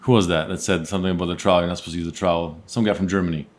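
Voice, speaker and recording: A man hosting a casual podcast, keeping the talk moving with questions and steady mm-hmms. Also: low voice, very fast. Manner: steady interviewer, lots of mm-hmms. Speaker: podcast host.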